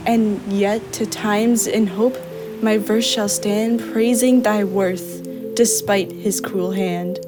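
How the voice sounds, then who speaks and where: A young woman performing a reflective dramatic monologue in verse, with measured delivery. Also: medium pitch, steady tone, music in the background, natural voice. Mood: solemn. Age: young adult.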